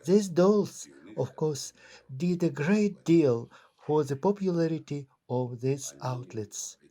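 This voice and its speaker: A man speaking a dubbed documentary voice-over in a slow, solemn reading. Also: fairly high pitch, warm tone. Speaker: voice-over translator of an interviewee.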